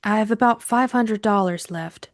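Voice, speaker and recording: A woman's voice: medium pitch, normal speed, no room sound, studio quality, lightly acted. A woman acting a frightened, secretive female client, timid and earnest, in an audiobook drama. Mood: meek, pleading.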